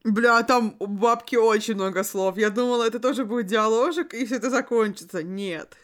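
A woman's voice: on the high side, brisk. A woman co-hosting a podcast, chatting casually between reading a story aloud, with a giggly, theatrical, foul-mouthed manner. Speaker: podcast co-host.

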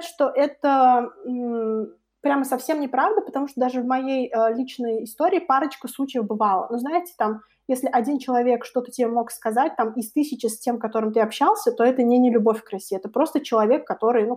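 A young woman with a fairly high, very soft-toned and mellow voice speaks as an interview guest. She explains things in an informal, conversational way, often acting out what other people might say. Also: fairly quick. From Russia. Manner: explanatory, informal, illustrates with imagined quotes. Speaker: interview guest, co-founder of a study-abroad project.

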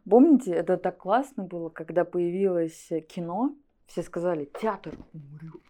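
A woman's voice: fairly low pitch, normal speed, warm tone, slight background noise. A woman giving her opinions conversationally as a director invited to an interview. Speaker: animation studio guest, director.

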